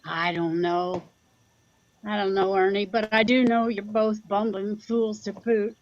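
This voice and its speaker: A woman's voice: medium pitch, fairly even intonation, measured pace, highs cut off, a little hiss or hum, lightly acted. A woman playing a plain-spoken rural Midwestern mother in an audio drama, dry, folksy and teasing with her grown son. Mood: wry teasing. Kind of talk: audio drama acting, conversational.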